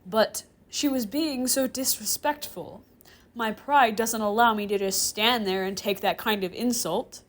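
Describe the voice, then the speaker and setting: A woman's voice: mid-range voice, brisk, few pauses, natural voice. Woman acting a stiff, indignant male demon professor. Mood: grudging, offended. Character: proud, stern, easily offended male demon professor.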